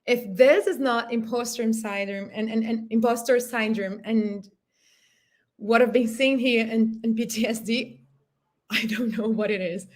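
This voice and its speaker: A woman in her thirties with a Brazilian Portuguese accent speaks on a video call, her voice sitting a little high. She talks with raw, earnest emotion and often pauses to find the right English word. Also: fairly even intonation, darkish tone. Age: 30s. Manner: emotional, earnest, searching for words. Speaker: panel guest. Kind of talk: impassioned panel discussion.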